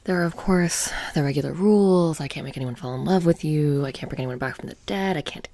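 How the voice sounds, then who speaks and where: A woman playing a sly, mysterious witch shopkeeper in a soft-spoken ASMR roleplay, hushed and breathy, slipping in and out of whispers. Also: sits low, fast, slight background noise. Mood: sly, inviting.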